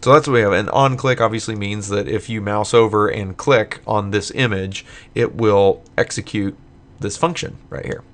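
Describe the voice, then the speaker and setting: A man with a lowish voice talks through code in a relaxed, unscripted way, pausing on "uh" and "um" as he goes. His voice is getting a bit hoarse from a late night of recording, and keyboard typing is heard behind him. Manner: casual, thinking aloud with many fillers. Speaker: tutorial maker. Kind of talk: screen-recorded coding tutorial.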